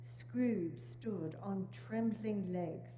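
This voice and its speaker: Female narrator, warm dark tone, scripted dramatic reading; muffled, noisy live hall recording. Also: medium pitch, very slow. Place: large church hall, indoors. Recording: muffled echoey church hall, humming noise.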